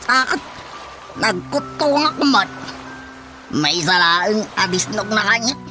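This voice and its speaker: A man's voice: high-pitched, animated, slow pace, poor audio. A man acting an aggrieved older father, complaining and pleading plaintively in an Ilocano radio comedy-drama. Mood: aggrieved, grumbling. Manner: plaintive, grumbling. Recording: faint music under the dialogue. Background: faint background music.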